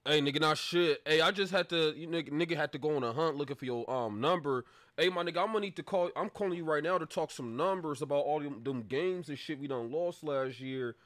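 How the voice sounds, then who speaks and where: A man's voice: fairly high pitch, brisk, fairly bright tone, no room sound, close to an everyday voice. A man playing a menacing street gambler on a prank call, confrontational, bossy and profane. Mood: pushy. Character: belligerent, menacing street gambler demanding his lost money.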